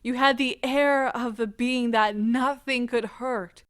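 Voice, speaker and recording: A woman playing an anguished, proud wife in an audio drama, speaking with deliberate emotional intensity. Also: fairly high pitch, fairly even intonation, a bit bright, no echo, studio quality. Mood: confessing, intense. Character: anguished, proud wife pleading as her marriage ends.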